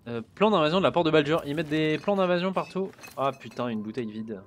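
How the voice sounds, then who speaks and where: A man's voice: a little high, animated, fast talker, slight background noise. Chatty man commenting on a game. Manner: chatty, muttering and swearing at the game. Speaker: streamer playing a video game. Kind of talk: casual let's-play commentary.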